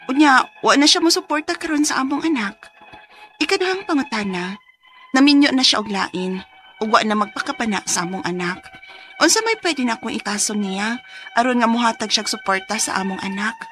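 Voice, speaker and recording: A woman's voice: fairly high pitch, animated, brisk, a bit bright, soft background music. An actress playing a hot-tempered, desperate young single mother with a Cebuano accent in a radio drama, emotional and accusing. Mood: troubled, pleading. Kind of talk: Filipino radio drama acting.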